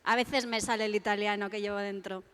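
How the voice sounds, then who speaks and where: A woman's voice: mid-range voice, steady tone, a little fast, fairly bright tone, clear, resonant tone. A woman introducing a speaker at a public talk, a little nervous and informal. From Spain. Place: lecture hall. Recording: long hall reverb.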